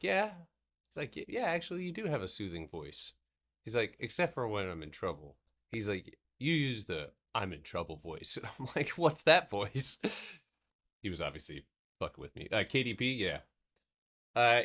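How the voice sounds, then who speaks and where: A man with a very low, soothing voice hosts a live stock trading stream. He rambles in a loose, casual way and swears freely as he walks through the charts. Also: fairly bright tone.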